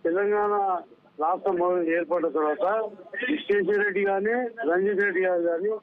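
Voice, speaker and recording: A man's voice: sits high, fairly even intonation, brisk. A man making political remarks in an interview, on a narrow, thin recording. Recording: thin, phone-like narrow band.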